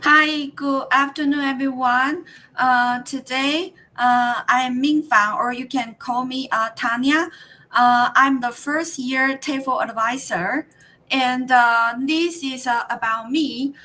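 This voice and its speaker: Bright-voiced Taiwanese woman teacher trainer. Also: sits high, fairly even intonation. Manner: halting, with many fillers and restarts. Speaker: teacher trainer leading a workshop. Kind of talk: online workshop presentation.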